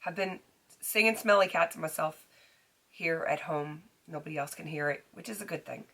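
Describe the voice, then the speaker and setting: Middle-aged woman, raspy and recovering hoarse, low voice with a sharp edge; casual beauty-vlog chatter, lots of ums. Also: normal speed. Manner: chatty with frequent ums and restarts. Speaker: beauty vlogger. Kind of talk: casual product chat to camera.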